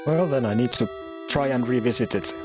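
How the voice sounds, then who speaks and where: A man's voice: medium pitch, fairly quick, over music. A Finnish man in his forties, a tournament player speaking English modestly and carefully in a casual chat. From Finland. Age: 40s.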